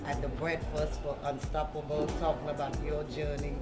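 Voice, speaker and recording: A woman's voice: low voice, level tone, moderate pace. A woman from Trinidad, a preacher giving an earnest, grateful testimonial. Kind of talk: heartfelt testimonial. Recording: loud music underneath.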